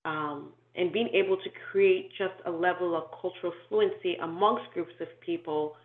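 A woman who grew up in Nigeria, talking through her life story in an interview, thoughtful and measured, with frequent ums and restarts. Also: fairly low pitch. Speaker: inclusion and diversity consultant, guest. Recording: tinny echoey phone-like call audio.

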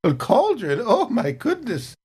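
A woman's voice: fairly high pitch, wildly swooping pitch, a little slow, dark tone, slightly rough, exaggerated voice. A woman voicing a sweetly catty older gossip, a station cook in a tabletop game, with genteel, falsely modest, fluttery speech. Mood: coy.

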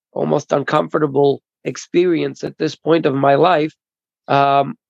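A man interpreting at a recovery meeting over a video call, halting and restarting his phrases. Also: fairly high pitch, vocal fry, a little mellow, no room sound. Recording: dull lo-fi video call audio.

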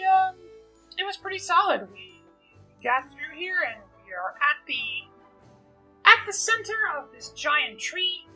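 A woman's voice: high-pitched, bright, forceful tone, low-quality recording. A woman player in a tabletop game, casually recapping the last session in a rambling, hesitant way. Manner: rambling, hesitant, many fillers.

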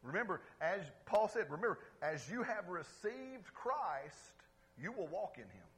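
A pastor preaching to his congregation in a lively, conversational way, calling out for responses and swinging between loud exclamations and quiet asides. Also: high voice, measured pace, breathy edge. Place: church service before a congregation.

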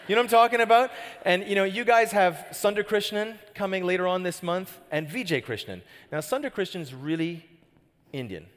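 A man's voice: high-pitched, fairly quick. A middle-aged Canadian man preaching a sermon as pastor, chatty and joking, often asking the crowd questions. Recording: hall reverb.

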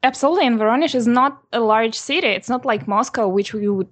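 A woman in her twenties from Russia with a Russian accent, answering interview questions in a steady, businesslike way with soft "mm" pauses. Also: a little high, no room sound. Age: 20s. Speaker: guest, designer and author. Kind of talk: interview answers over a call.

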